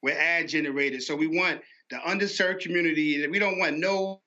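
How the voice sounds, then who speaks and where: A man's voice: fairly high pitch, normal speed, sharp tone. A man speaking as an interview guest about his own venture, often repeating words and phrases, on dull lo-fi audio. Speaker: guest promoting an animation platform. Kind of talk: interview conversation.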